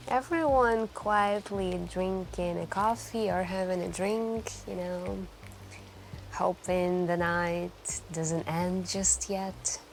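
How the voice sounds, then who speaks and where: A woman playing a wistful, lonely stranger in an intimate, soft-spoken ASMR roleplay, hesitant and confiding as she rambles. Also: medium pitch, vocal fry. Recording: soft background music. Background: quiet background music.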